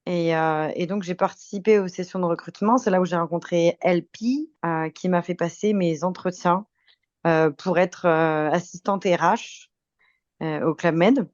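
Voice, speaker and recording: Lower-voiced young Frenchwoman, slightly dull audio. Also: steady tone, moderate pace, a little mellow, no room sound. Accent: French from France with slight Québécois tinge. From France. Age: 20s. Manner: chatty, good-humored, giggly. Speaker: podcast guest. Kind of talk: casual chat, telling anecdotes.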